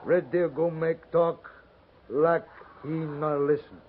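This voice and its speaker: Slow, grave chief in halting English. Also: male voice, on the high side, fairly even intonation. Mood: solemn, aggrieved. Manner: halting, broken stage English, solemn. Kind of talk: 1950s radio acting. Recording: muffled, noisy 1950s radio transcription.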